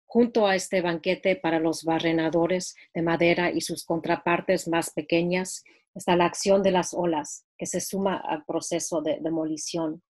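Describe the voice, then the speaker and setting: Brief: woman, lowish voice, steady measured reading from her book, home video call.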